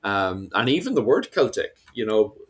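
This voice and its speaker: A man's voice: lowish voice, very animated, normal speed. A man chatting casually about history, rambling with lots of fillers and restarts. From Ireland. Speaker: podcast host.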